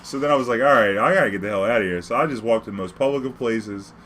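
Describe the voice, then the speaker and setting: A man's voice: medium pitch, very fast, a bit bright, slight background noise. A man from New Orleans with an American accent, telling personal stories casually in profane street slang, acting out the dialogue.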